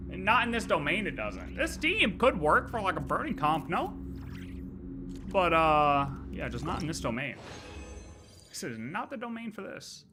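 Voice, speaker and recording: A man's voice: sits high, big pitch swings, unhurried, long pauses. A man giving casual, rambling livestream gameplay commentary, often questioning and answering himself. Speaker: game streamer. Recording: game music behind voice.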